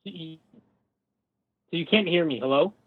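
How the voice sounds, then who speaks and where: A man with a fairly high voice speaks over a dull, lo-fi internet call. He chats casually as a guest commentator. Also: moderate pace, slightly rough. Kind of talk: casual chat.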